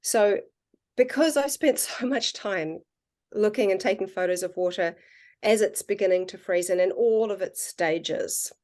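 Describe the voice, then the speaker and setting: A woman with a New Zealand accent talks as a podcast guest in an easy, conversational way. She sounds earnest and reflective, and she slows down on the points that matter to her. Her voice sits at an average pitch. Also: darkish tone. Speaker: podcast guest, water researcher. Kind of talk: casual conversational interview.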